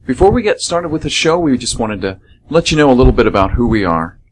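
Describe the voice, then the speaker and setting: Male host-narrator, mid-pitched, scripted announcing and storytelling for radio theater. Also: very animated, fast talker, poor audio.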